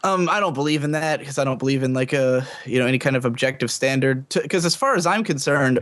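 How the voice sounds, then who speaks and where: Man, conversational podcast voice, mid pitch, self-assured, rambling, opinionated. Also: a bit bright. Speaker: podcast guest, anime reviewer. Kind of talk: casual podcast chat.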